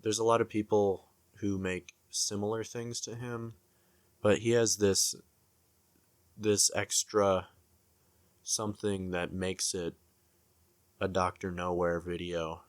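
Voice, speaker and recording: Low-voiced man in halting, reflective commentary. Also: little pitch movement, no room sound. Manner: halting, searching for words, repeats himself. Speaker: video essay commentator.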